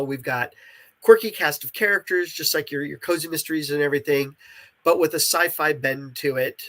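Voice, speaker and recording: A man's voice: a little high, fairly bright tone. A man with a congested, nasal voice talking enthusiastically and explaining his creative work in a casual interview.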